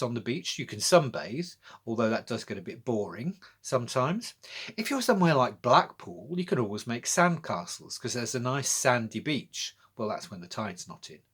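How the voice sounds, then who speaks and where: A man reads and talks to children in a very animated voice that rises and falls widely. His medium-pitched voice has a husky, slightly rough edge. He addresses his young audience directly, like a storyteller explaining things between verses. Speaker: poet reading own children's poems. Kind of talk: children's poetry reading with friendly explanatory chat.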